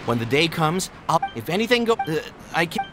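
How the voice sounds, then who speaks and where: A wavering young juggler in a fantasy game, loyal but unsure. The voice is low and jumps about in pitch, hesitant and stammering, with music behind it. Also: female voice, big pitch swings, brisk.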